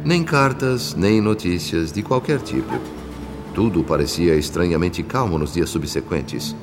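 A man with a Brazilian accent narrating a radio soap opera in a solemn, measured, dramatic scripted style. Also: low-pitched. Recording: long reverb on voice. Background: music.

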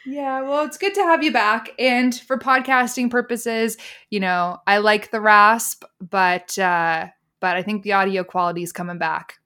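A woman in her twenties co-hosting a podcast in casual chat, giggly and animated. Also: mid-range voice, a little slow. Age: 20s. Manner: giggly, animated, uses lots of "like". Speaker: podcast co-host.

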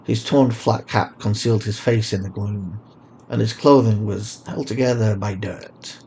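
A man's voice: on the low side, fairly even intonation, unhurried, a little mellow, a little hiss or hum. A man reading scripted fiction as a story narrator.

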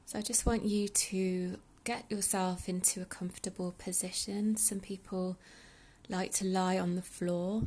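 A woman leading a guided meditation in a soothing, unhurried, breathy soft delivery with pauses. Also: on the low side. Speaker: meditation guide, yoga teacher.

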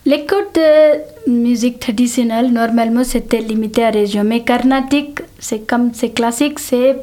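A woman from South India whose first language is Telugu, speaking with an Indian accent and answering interview questions in a halting, restarting way. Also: fairly high pitch, mellow. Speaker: interviewee, singer. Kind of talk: conversational interview.

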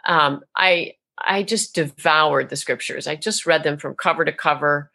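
Animated woman storyteller with a forceful voice. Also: sits low, a little slow. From Tennessee, US. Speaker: guest, author and minister. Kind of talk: casual interview talk.

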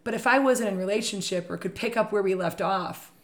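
Chatty woman with a slightly raspy voice. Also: mid-range voice, brisk. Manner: chatty, enthusiastic storyteller. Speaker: real estate leader. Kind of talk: podcast conversation.

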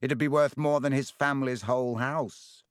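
A man's voice: mid-range voice, steady tone, normal speed, smooth, no room sound, studio quality, voice pushed up, lightly acted. A man voicing an arrogant teenage bully who drawls and sneers scathingly. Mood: sneering. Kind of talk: audiobook character voice.

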